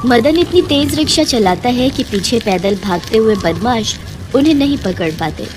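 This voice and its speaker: A woman's voice: a little high, animated, normal speed, background noise. A woman narrator reading a scripted children's moral story.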